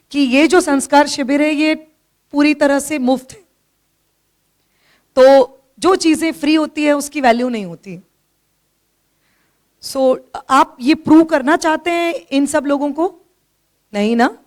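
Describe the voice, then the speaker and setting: A woman's voice: high-pitched, unhurried, pauses often, a little mellow. A middle-aged woman teacher with a sore throat, giving a firm classroom lecture from slides in a hall. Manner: firm, scolds listeners for talking. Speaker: teacher giving a talk to students. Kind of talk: classroom lecture with slides, mixing Hindi and English.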